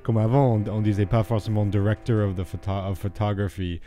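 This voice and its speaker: Mellow male film commentator over soft music. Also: on the low side, steady tone, a little fast. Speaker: film critic, radio contributor.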